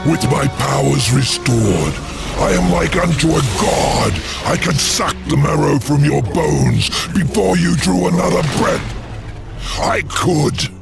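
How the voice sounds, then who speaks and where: An actor playing an ancient, proud demon lord in a video game, sly and menacing, with a gravelly growl and a theatrical, mocking delivery. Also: male voice, fairly high pitch, animated, slow pace, over loud music, full character voice. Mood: wrathful, boastful. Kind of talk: video game cutscene acting.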